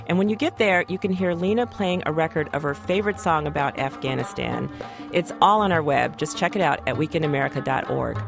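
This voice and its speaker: A woman with a slightly low voice reads a radio update with a lively lift in her delivery. A clear music bed runs under her. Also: brisk. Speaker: radio host. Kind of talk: scripted radio narration.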